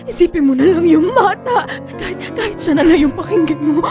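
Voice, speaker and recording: A high-pitched, exasperated, pleading wife. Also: female voice, expressive, brisk, over music. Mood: desperate, pleading. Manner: exasperated, imploring. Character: weary, pleading working wife and mother. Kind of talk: radio drama acting.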